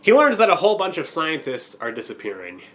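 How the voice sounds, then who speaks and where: A man's voice: a little high, wildly swooping pitch, fast, fairly bright tone. A man co-hosting a comic-book podcast, talking casually and recapping stories while getting over a cold that makes his voice crack. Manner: joking, recaps plots in a loose rambling way. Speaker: podcast co-host.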